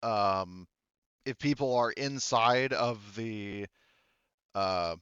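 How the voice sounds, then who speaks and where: A man's voice: on the low side, drawn-out words, fairly bright tone, clean tone, no room sound, muffled recording. A man acting as game master, explaining tabletop rules conversationally in a rambling way.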